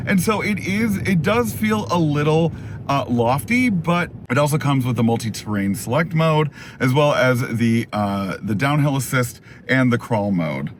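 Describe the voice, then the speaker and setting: A man hosting a casual, chatty car review in an animated, opinionated, enthusiastic way, his voice going a little hoarse. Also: on the high side, background noise. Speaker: car review video host.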